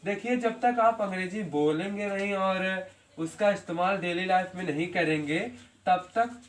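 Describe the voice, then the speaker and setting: A man teaching everyday English sentences, repeating each phrase slowly and asking if the students follow. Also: sits high, echoey room, distant mic. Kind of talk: spoken English lesson in Hindi.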